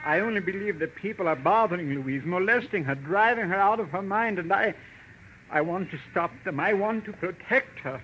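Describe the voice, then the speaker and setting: Jumpy little husband in old radio crime show, high sharp voice, muffled noisy recording. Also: male voice, normal speed. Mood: agitated. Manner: tense, desperate, insistent. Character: small, jittery, frantically protective husband with a gun. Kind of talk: 1940s radio drama acting.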